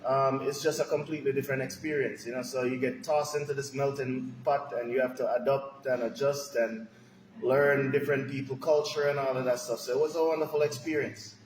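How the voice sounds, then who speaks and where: A man's voice: mid-range voice, brisk. Man from Jamaica in an echoey room. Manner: relaxed, rambling, many "you know"s. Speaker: restaurant owner, guest. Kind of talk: podcast interview answers. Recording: echoey room with long reverb, faint noise.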